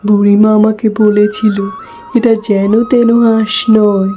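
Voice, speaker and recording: Woman as a mellow, even-toned poor village wife. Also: medium pitch, unhurried, soft background music, lightly acted. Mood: excited relief. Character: poor, gentle, dutiful village wife and mother. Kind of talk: cartoon fairy tale acting.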